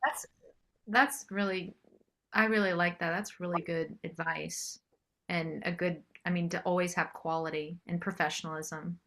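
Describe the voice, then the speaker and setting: A woman's voice: lowish voice, fairly even intonation, moderate pace, fairly bright tone. A woman chatting casually as an interview guest, appreciative and agreeable in manner. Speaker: singer-songwriter guest.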